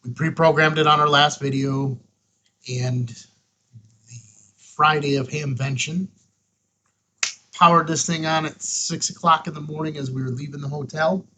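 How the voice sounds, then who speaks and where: A man's voice: on the high side, fairly even intonation, a little slow, pauses often, sharp tone, slight background noise. A man hosting a casual hands-on product review, talking in a folksy, emphatic way with short punchy phrases. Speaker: host of a ham radio review show.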